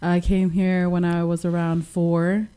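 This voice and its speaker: Soft-spoken young woman from Western Samoa. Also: on the low side, level tone, a little slow, clear, resonant tone. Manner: soft-spoken, laughs often, slangy. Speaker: musician guest. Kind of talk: casual radio interview.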